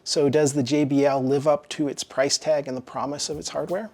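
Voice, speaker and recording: A man's voice: a little high, little pitch movement, a little fast, a little mellow. Male reviewer reading a scripted gear review. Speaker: audio equipment reviewer.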